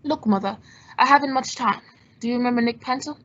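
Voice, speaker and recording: A woman playing a cool, quick-witted male adventurer and sleuth in an old-time radio drama. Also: fairly high pitch, a bit bright. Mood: focused. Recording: dull lo-fi audio.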